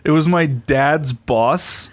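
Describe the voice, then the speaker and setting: Giggly young man, slightly high voice. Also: slow pace. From United States. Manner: giggly, animated storyteller. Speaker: podcast guest. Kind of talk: casual chat, storytelling.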